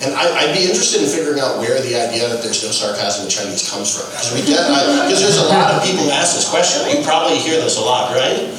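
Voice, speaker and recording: A man's voice: medium pitch, fast, a crowd in the background. A man who does stand-up comedy, telling stories animatedly on a discussion panel. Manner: animated storytelling, laughs through jokes. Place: large echoey event hall. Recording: echoey hall from far off, background noise.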